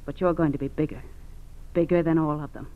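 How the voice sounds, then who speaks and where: Actress playing a scheming gangster's wife, tinny old radio. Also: female voice, low voice, steady tone, a little fast, natural voice. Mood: driven, ambitious. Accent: American. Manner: coaxing and commanding by turns. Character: scheming, domineering, ambitious wife of a small-time crook. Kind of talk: 1940s radio acting.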